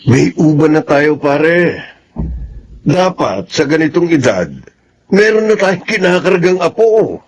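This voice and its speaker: A man's voice: a little high, sing-song, slow, pauses often, slight background noise, full character voice. A man playing a proud, jovial middle-aged father and old friend in a Filipino radio drama, with a Filipino accent and a chatty, chummy manner. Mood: teasing.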